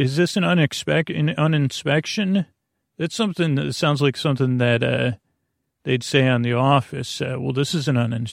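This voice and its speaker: Male sleep-podcast host: gravelly and soothing, dry close sound, faint music, rambling bedtime monologue full of stumbles. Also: mid-range voice, no echo. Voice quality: creaky. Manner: meandering, stammering, goes off on tangents; lulling, soothing.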